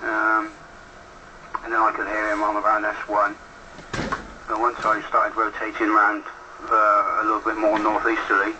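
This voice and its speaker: Casting: male radio ham, low monotone voice, rambling and matter-of-fact, coming through a two-way radio. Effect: heard over a two-way radio. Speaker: amateur radio operator. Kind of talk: casual radio contact chat. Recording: noisy two-way radio transmission through a speaker.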